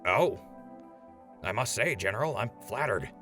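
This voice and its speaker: A man's voice: lowish voice, lively intonation, a little slow, fairly bright tone, soft background music. A man playing an earnest, cheeky wasteland radio host in an audio drama, conversational and deferential. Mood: flustered. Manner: conversational, deferential, hesitant when flustered. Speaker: radio host interviewing a general.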